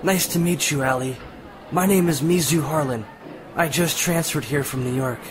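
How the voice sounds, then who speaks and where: A man playing a friendly, easygoing teenage boy in an amateur audio drama, casual and relaxed. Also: fairly high pitch, normal speed, close to an everyday voice. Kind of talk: amateur radio drama acting. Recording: noisy, busy crowd ambience behind.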